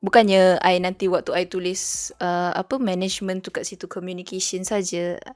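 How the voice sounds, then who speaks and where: A woman's voice: fairly low pitch, little pitch movement, brisk, a little mellow, no echo, faint music behind. A young Malaysian woman with a Malaysian accent, chatting casually on a study stream, full of fillers, switching between English and Malay, sometimes reading her notes aloud. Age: young adult.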